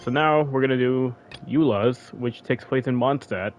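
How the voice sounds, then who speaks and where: A man's voice: medium pitch, normal speed, lo-fi sound. A man live-streaming a video game, chatting in a loose, rambling, informal way. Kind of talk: casual live-stream chat while playing. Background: faint game music.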